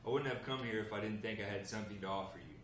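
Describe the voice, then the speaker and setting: A man reading the part of an earnest, hard-up man bargaining with a gang boss, measured and persuasive, at a script table read. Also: on the low side, natural voice. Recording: roomy, noisy, distant mic.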